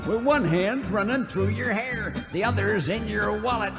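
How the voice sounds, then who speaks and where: High-pitched cranky old cowboy sidekick. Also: male voice, moderate pace, big-room sound. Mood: preachy, folksy. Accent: American. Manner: folksy, exaggerated old-timer drawl. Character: cranky, boastful old cowboy sidekick, folksy and woman-wary. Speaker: comic singing sidekick. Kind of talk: western radio comedy with comic songs. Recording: music behind the voice.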